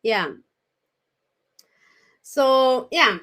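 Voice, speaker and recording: A woman's voice: high-pitched, animated, very slow, bright, forceful tone. A Kenyan woman in her thirties hosting a casual live stream, talking straight to her viewers with frequent restarts. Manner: chatty, addresses viewers directly, frequent restarts.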